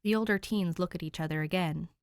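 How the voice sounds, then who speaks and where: A woman with a fairly low voice narrating fan fiction in an even, storytelling way. She reads close to a home microphone, and the sound is dry and intimate. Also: fast talker, smooth, no echo. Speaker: podfic reader.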